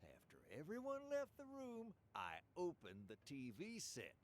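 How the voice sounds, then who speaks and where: An actor playing a stern, calculating ringleader in a radio drama, theatrical and commanding. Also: male voice, on the high side, huge pitch swings, measured pace, slight background noise. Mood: sly, explaining. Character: bossy male scheming ringleader, stern and calculating.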